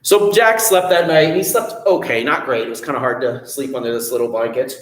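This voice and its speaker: A man telling a story at a children's storytime, animated, adding sound effects and asking the children questions. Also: medium pitch, brisk, echoey room. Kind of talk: children's storytime read-aloud.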